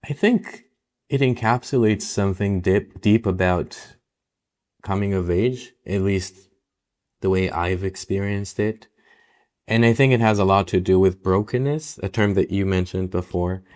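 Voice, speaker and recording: Young israeli guy, hebrew first language, really low voice, thinking out loud on a podcast, lots of um and restarts. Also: measured pace. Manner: reflective, many restarts and "um"s. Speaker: novelist guest. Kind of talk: podcast interview.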